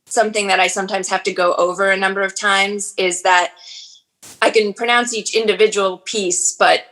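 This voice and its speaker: A woman's voice: medium pitch, steady tone, normal speed, fairly bright tone. A woman in her forties, an audiobook narrator and actress, chatting casually in an interview with frequent fillers and restarts.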